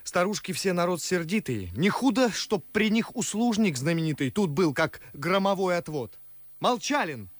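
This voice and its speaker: An actor playing a witty, mocking young gentleman in theatrical verse for a radio drama. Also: high voice, lively intonation, normal speed, dry recording. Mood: sardonic. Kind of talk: classic stage verse acting for radio.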